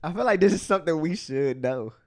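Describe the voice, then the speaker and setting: A man's voice: on the high side, lively intonation, fast talker. A young man hosting a podcast, chatting casually and teasing his guest with lots of slang. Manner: teasing, laughs a lot, slangy. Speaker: podcast host. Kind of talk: casual chat, interviewing.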